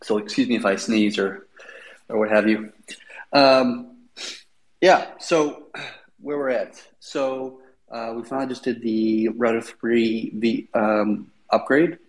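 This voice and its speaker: A man's voice: lowish voice, low-quality recording. Sniffly man giving a rambling tech update. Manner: rambling, many fillers. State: sniffly from a cold. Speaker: developer guest. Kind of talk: casual technical update.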